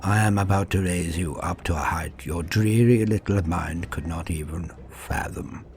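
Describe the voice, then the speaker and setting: A man's voice: sits low, darkish tone, soft background music, natural voice. A man voicing a huge, sinister, condescending mad scientist in a horror story, menacing and grandiose as he savors each phrase. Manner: menacing, pompous, slow and savoring. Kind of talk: dramatic horror storybook acting.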